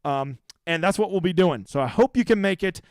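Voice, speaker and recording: Man, thirties, livestream host, swears a lot, brash and rambling, fairly high voice, dry close sound. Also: expressive, a little fast, smooth, no echo. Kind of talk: casual livestream chat answering viewer questions.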